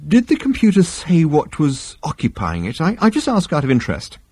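Bewildered, polite everyman acted by a narrator, stammering, high and lively, storybook character. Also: fairly quick, breathy edge, full character voice. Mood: nervous. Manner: stammering, hesitant. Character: nervous, hesitant, polite, bewildered ordinary Englishman.

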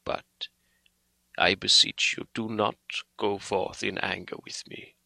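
Man acting a solemn old foreign doctor, slow and forceful. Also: mid-range voice, no room sound, pitched above the performer's own voice, low-quality recording. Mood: pitying, pleading. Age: older. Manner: solemn, emphatic, halting oratory. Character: grave, earnest old male foreign doctor, pleading and solemn. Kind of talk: dramatic audiobook character reading.

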